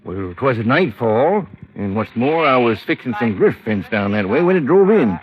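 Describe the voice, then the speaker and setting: Man playing an American country farmer, folksy, unconcerned, very lively intonation, muffled vintage radio recording. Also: mid-range voice, moderate pace, natural voice. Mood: folksy, offhand. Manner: folksy, rambling, offhand. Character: plain-spoken rural farmer, vague and unconcerned. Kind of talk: 1950s radio drama acting.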